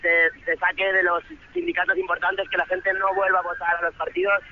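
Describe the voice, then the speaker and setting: A man from Barcelona speaking earnestly and haltingly in an unscripted live interview over a muffled phone line from a street. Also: sits high, level tone, slight background noise. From Barcelona, Spain. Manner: earnest, halting with repeated words. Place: outdoors in a busy city square.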